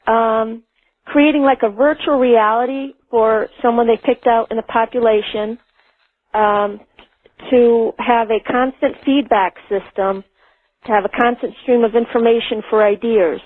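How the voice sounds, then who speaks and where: A woman calling in to a radio show, putting her questions in a hesitant, deliberate way. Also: fairly high pitch, steady tone, no echo. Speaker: caller. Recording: tinny telephone line.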